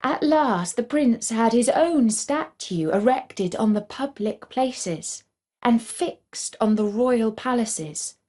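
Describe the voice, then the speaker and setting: A woman reading a scripted fairy tale for children in a measured, deliberate storybook manner. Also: on the high side, lively intonation, dark tone.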